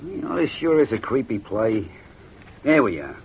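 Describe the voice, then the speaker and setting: An actor playing a gullible husband in a 1940s radio drama, flustered and bewildered. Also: male voice, medium pitch, big pitch swings, moderate pace, slightly rough, exaggerated voice. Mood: easygoing. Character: gullible middle-aged husband Walter. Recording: dull old radio transcription.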